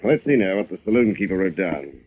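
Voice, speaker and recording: An actor playing a stubborn, emphatic police commissioner in 1940s style radio drama. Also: male voice, low voice, animated, brisk. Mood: determined. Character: blunt, stubborn police commissioner, sure of his theory. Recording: muffled, hissy old radio recording.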